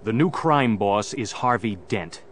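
An actor playing a stoic, determined masked hero, grave and deliberate, in an audio drama. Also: male voice, fairly low pitch, animated, a little hiss or hum. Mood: resolute.

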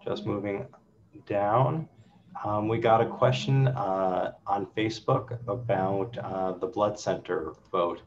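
A man runs a town hall over a video call. He reads audience questions and answers them briskly. The sound is dull and lo-fi, with a faint hum behind him. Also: lowish voice. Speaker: council member hosting a town hall. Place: office with city window, indoors.

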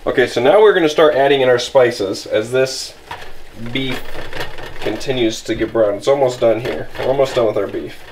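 A man's voice: mid-range voice, moderate pace, noisy background. A young man casually narrating as he cooks in his home kitchen, easygoing and a little rambling, apologizing and restarting as he goes. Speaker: outdoor vlogger cooking camp meals. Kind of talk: casual how-to cooking narration. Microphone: camera filming from above the stove.

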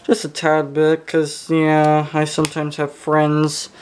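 Young guy talking slow while he fixes stuff at a bench, kinda muttering to himself, swears casually. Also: fairly high pitch, monotone, a little mellow, clean tone. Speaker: hobbyist electronics repairer. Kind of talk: casual hands-on repair commentary. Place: indoors at a workbench.

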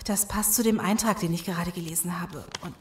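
An actress playing a shaken, knowing woman in a horror audio drama, speaking gravely and deliberately. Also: fairly low pitch, close to an everyday voice. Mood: dread. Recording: roomy echo, faint background noise.

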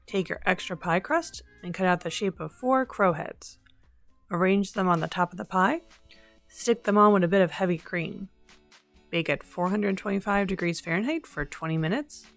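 A young woman hosting a home baking video, narrating a recipe step by step with playful asides. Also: mid-range voice. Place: home kitchen.

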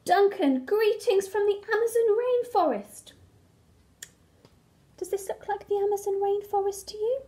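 A woman's voice: very high-pitched, unhurried, warm tone, voice pushed up. A woman voicing a cheerful, clueless globe-trotting red crayon from a storybook, breezily unsure of itself. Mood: breezy, clueless.

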